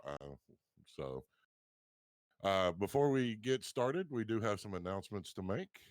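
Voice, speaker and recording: A man with a low voice hosts a tabletop roleplaying show. He announces things with a playful, theatrical touch, and the sound is close and dry. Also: sing-song, measured pace, no room sound. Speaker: game master and show host. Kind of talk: hosting intro of a tabletop game show.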